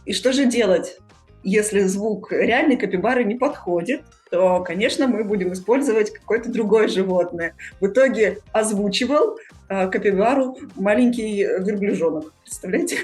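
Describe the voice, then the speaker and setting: A woman's voice: mid-range voice, expressive, normal speed, darkish tone. A woman hosting a podcast, talking casually with her co-hosts. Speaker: podcast host. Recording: music in the background. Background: music.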